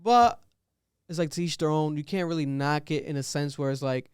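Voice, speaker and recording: Podcast co-host, male, fairly high voice, smooth tone; loose, joking, animated talk. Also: no echo, clean studio sound. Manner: animated, laughing, quick asides. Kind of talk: casual podcast chat.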